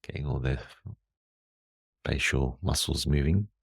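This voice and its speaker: Very deep, slow-speaking male meditation guide. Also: vocal fry, slightly rough, no room sound, studio quality. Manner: calm, soothing, measured with long pauses.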